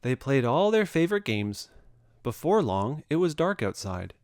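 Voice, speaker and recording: A man reading a children's story aloud with expressive narration. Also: medium pitch, normal speed, no echo, studio quality. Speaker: storybook reader.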